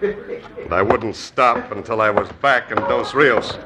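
A cold, smooth-talking claim jumper. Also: male voice, fairly low pitch, slow pace. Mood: menacing. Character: menacing saloon boss, claim jumper, man. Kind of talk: 1950s radio drama acting. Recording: old radio broadcast with crowd noise.

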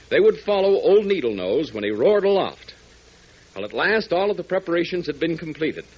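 A man's voice: on the high side, breathy edge. A male announcer narrating a 1940s radio adventure serial, speaking dramatically to young listeners. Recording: dull 1940s radio transcription.

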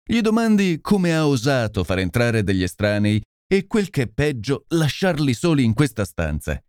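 A man's voice: mid-range voice, wildly swooping pitch, normal speed, darkish tone, smooth, dry recording, clean studio sound, pitched above the performer's own voice. A man voicing a brilliant, intense male detective in an audiobook, speaking in a commanding, urgent way.

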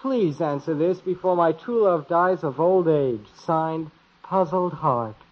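A man's voice: fairly high pitch, expressive, slow pace. An American actor playing a wisecracking, grumbling teenage boy in a 1950s radio comedy, on an old broadcast recording. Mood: mock-dramatic. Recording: muffled, noisy vintage radio broadcast recording.

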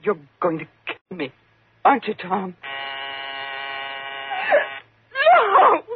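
A woman's voice: medium pitch, huge pitch swings. An actress playing a terrified, guilt-ridden, pleading young woman who has killed, in breathless 1950s radio acting style. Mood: tearful terror. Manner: overwrought, breathless, halting. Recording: noisy phone-like 1950s radio recording.

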